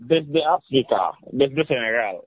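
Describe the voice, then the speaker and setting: A man's voice: mid-range voice, expressive, takes it slowly, phone-quality sound. A man hosting a radio program and chatting with callers, upbeat and urging others to join in. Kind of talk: lively call-in chat.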